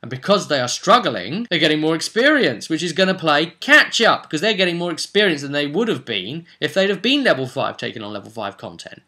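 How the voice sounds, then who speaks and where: A man's voice: a little high, big pitch swings, brisk, few pauses, pushed and bright. A man giving an informal, explanatory tutorial in an emphatic, opinionated, conversational way. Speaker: host of a tabletop role-play tutorial series. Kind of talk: informal explanatory monologue with read-out rules.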